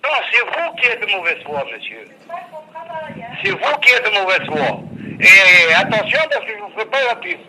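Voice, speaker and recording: A man speaking as a customer on a phone call, stubborn and indignant, often repeating his words haltingly. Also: high-pitched, big pitch swings, pushed and bright. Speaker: customer being prank-called. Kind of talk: phone conversation. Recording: noisy telephone line.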